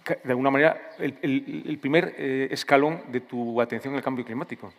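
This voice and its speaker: Hesitant interviewer, echoing hall. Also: male voice, medium pitch, steady tone, a little fast. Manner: hesitant, frames long questions. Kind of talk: festival stage interview.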